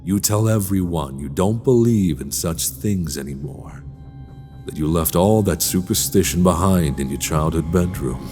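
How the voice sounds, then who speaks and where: A man playing a sinister horror-story host in an audio drama, narrating in a slow, deliberate, theatrical and menacing way. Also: low voice. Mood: ominous. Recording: long reverb, music underneath.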